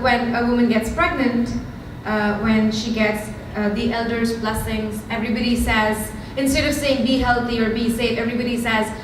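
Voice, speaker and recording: A young woman from India with an Indian accent, giving a candid, conversational talk to a live audience with frequent ums. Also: on the high side. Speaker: startup founder giving a talk. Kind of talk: storytelling lightning talk. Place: event hall with audience. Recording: very echoey hall from far off, noisy.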